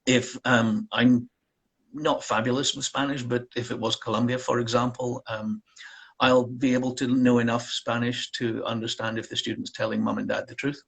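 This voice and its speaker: A man, a college counselor, answering interview questions in a relaxed way with frequent pauses and ums. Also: medium pitch, gravelly, breathy edge.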